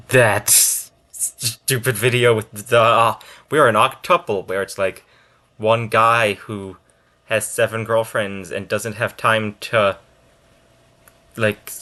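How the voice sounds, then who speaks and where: Low-voiced man rambling casually through commentary. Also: sharp tone. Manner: halting, rambling delivery with trailing thoughts. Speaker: video host reacting to memes. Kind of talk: casual unscripted meme reaction commentary.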